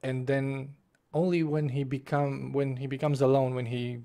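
A man's voice: medium pitch, little pitch movement, moderate pace, dark tone. A young man, talking through a book review in his bedroom into a desk mic, passionate and restarting his phrases. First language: Romanian. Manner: passionate, gestures with both hands, restarts phrases. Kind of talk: casual spoken book review. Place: small bedroom at home. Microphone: desk microphone in front of face, webcam framing.

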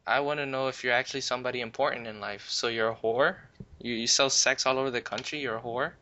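A man's voice: mid-range voice, quick pace, sharp tone, a little hiss or hum. A man making a casual prank phone call, goading in a mock-friendly, repetitive way, on a recorded call. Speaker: prank caller. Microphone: recorded phone or internet call.

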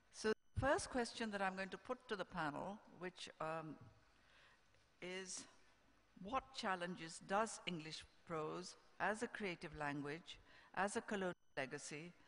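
A woman's voice: on the low side, a bit bright. Older woman moderating in an echoey hall. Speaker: panel moderator. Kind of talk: scripted introductions read to a festival audience. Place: on stage in a large auditorium. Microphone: stand microphone on stage. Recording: echoey hall, stage microphone.

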